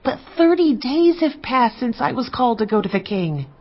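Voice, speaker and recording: An actress playing a grave, resolute queen in a dramatized scripture production, speaking in an earnest, measured way. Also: a little high, animated, lo-fi sound. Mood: anxious.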